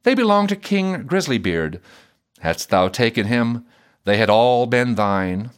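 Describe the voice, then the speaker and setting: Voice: medium pitch, animated, unhurried, smooth, close to an everyday voice. A storyteller voicing a bossy fiddler husband in a fairy tale, blunt and reproachful. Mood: matter-of-fact. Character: gruff, bossy fiddler husband, scolding and matter-of-fact.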